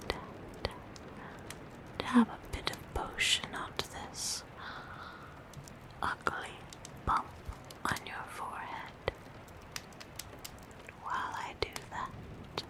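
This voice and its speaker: A woman voicing a kind, gentle forest witch in a soft, breathy whisper, soothing and tender, for an ASMR roleplay. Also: fairly low pitch.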